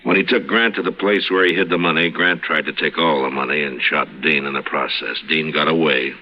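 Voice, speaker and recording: An actor playing a calm, steady frontier marshal in a 1950s radio drama. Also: male voice, very deep voice. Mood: somber. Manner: calm, measured. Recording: lo-fi old radio recording in a reverberant room.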